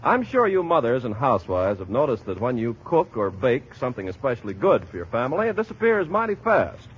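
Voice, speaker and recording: A man's voice: medium pitch, very animated, a little fast. A man working as a polished, salesmanlike radio announcer, reading a scripted 1940s commercial. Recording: muffled, husky-sounding 1940s radio recording.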